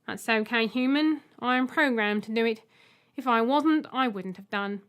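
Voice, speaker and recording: Woman voicing a high, formal robot egg. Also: normal speed, fairly bright tone, smooth, close to an everyday voice. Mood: matter-of-fact. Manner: formal, literal, matter-of-fact. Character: polite, blunt, literal robot egg greeting a human. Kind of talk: audiobook character voice.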